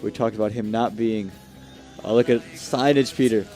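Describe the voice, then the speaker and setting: A man's voice: on the low side, moderate pace, dark tone, faint music behind. A man giving casual analysis as a live cricket co-commentator, conversational and easygoing.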